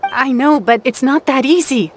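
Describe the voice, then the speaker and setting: A woman's voice: high voice, moderate pace, a little hiss or hum, lightly acted. A woman playing a weary, caring young supply carrier in a video game, speaking earnestly. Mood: worried. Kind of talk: video game character acting.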